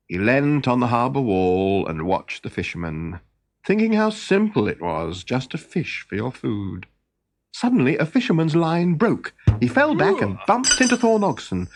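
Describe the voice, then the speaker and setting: A man reading a children's story in an animated, expressive storytelling manner. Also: on the low side. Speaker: story reader. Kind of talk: scripted children's storybook narration.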